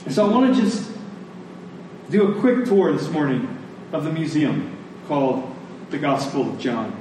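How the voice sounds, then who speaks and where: A man preaching a sermon in a conversational, deliberate way, telling stories and explaining scripture, pausing and repeating phrases for emphasis. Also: a little high, lively intonation, warm tone. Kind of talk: sermon with storytelling and scripture exposition. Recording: echoey room, distant mic, faint noise.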